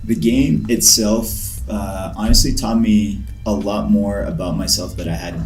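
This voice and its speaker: A man in his twenties from Pakistan, whose first language is Urdu, speaking as an interview guest. He tells his story in a reflective, measured way. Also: lowish voice, steady tone, a little mellow. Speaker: guest, basketball trainer. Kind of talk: casual interview conversation. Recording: roomy echo, faint music behind.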